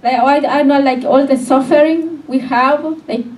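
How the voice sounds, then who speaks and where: A young woman, a native Spanish speaker, answering questions at a public event in halting English full of fillers. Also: sits high, fairly even intonation, darkish tone. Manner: hesitant, filler-heavy non-native English. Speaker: author sharing her story. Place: community media event room. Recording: distant, echoey with faint noise.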